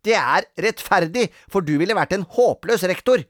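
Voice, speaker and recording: A man's voice: high voice, very animated, dry recording, studio quality, pitched above the performer's own voice. A man voicing a grumpy, bossy young girl who plays strict teacher in a children's story, snappish and commanding. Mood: bossy, scornful.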